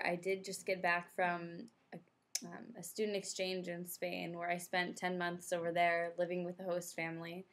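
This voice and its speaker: A young American woman talks through her answers in a relaxed, rambling way, sprinkling in plenty of "like" and "um". Her voice sits a little low and barely rises or falls. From United States. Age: young adult. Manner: chatty, with frequent "like" and "um" fillers. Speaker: interviewee, former exchange student. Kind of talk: casual interview answers.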